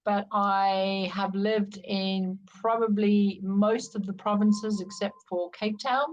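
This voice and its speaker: A woman's voice: mid-range voice, fairly even intonation, slow pace, a little hiss or hum. Middle aged south african lady telling her life story on a podcast, reflective, bit dull lo-fi sound. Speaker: podcast guest. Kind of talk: personal life-story interview.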